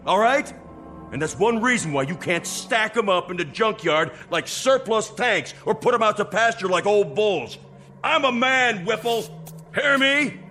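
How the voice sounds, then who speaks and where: A defiant, bitter working man in a radio drama, blunt and emphatic. His high voice moves in lively swings, with music clearly behind it. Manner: emphatic, blunt, repeats himself. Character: embittered, defiant male factory worker, hot-tempered. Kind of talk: audio drama acting.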